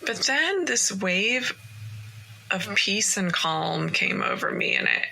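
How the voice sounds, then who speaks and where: Woman podcast host, slow and gentle, bright tone, recorded in a home closet. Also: mid-range voice, gravelly, rough recording. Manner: calm, gentle, encouraging. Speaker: podcast host and life coach. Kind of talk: casual solo podcast monologue.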